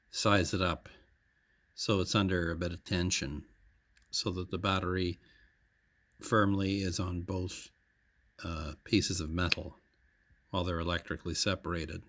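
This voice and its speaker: Man, deep-pitched voice, hesitant how-to narration, matter-of-fact, frequent pauses, dry room sound. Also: gravelly, a bit bright, dry recording. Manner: hesitant, matter-of-fact, pausing often mid-sentence. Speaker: hobbyist electronics repair demonstrator. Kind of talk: unscripted how-to narration of a repair project.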